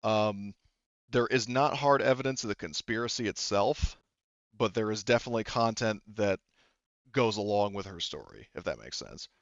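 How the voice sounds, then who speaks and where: A man's voice: on the low side, animated, moderate pace, no echo, muffled recording. A man narrating a tabletop roleplaying game as game master, improvising in a hesitant, rambling way full of filler words.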